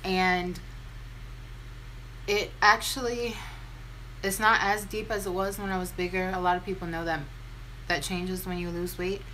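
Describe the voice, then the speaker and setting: A young American woman speaks in a deep voice with a bright, forward edge. She chats informally and candidly, often poking at herself, like a vlogger talking to followers. There is faint noise behind her in the home-video recording. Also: flat delivery, measured pace, pauses often, poor audio. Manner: candid, self-deprecating, informal. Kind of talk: casual chatty get-ready-with-me storytime.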